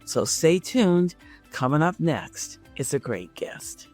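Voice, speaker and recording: A woman's voice: deep voice, very animated, takes it slowly, darkish tone, faint music behind. A woman hosting a career podcast, moving between a prepared introduction and friendly interview chat. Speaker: podcast host and career coach.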